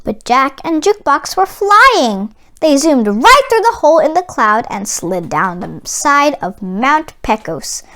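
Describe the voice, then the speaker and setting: A woman narrating a children's storybook aloud in an expressive read-aloud style. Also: high voice, measured pace, few pauses. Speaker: children's book reader.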